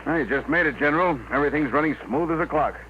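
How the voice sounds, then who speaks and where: An American man playing a determined, seasoned rocket builder in a radio drama, brisk, folksy and decisive. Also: on the high side, lightly acted. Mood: eager. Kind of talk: 1950s radio drama acting. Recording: old muffled radio recording, noisy hiss.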